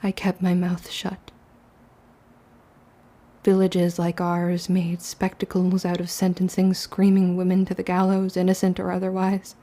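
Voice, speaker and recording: Woman acting a young witch girl, old-timey formal words, guarded n hurt, low-key even mellow voice, audio drama. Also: measured pace, natural voice. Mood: bitter. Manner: archaic, formal period diction. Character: young witch girl Matilda, wounded, guarded, narrating her past. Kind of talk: confessional first-person audio drama narration and dialogue.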